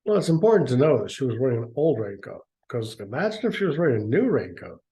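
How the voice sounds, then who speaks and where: A man's voice: mid-range voice, big pitch swings, fast talker, mellow. A man reading a story aloud and breaking off into sarcastic, mocking asides, often stammering. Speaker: reader and commentator. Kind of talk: dramatic reading with sarcastic commentary.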